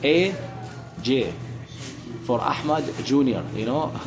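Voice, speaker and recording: A man's voice: medium pitch, animated, slow pace, noisy background. A middle-aged man from Syria talking casually and unscripted, explaining things in a preachy, repetitive, rambling way. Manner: rambling, repeats phrases for emphasis; preachy, addresses listener as brother. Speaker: street guitarist and talker. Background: guitar music.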